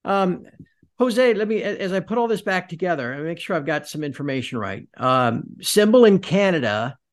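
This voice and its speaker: A man's voice: high voice, expressive, normal speed. A man hosting a podcast, asking long, rambling conversational questions. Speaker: podcast host. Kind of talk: podcast interview.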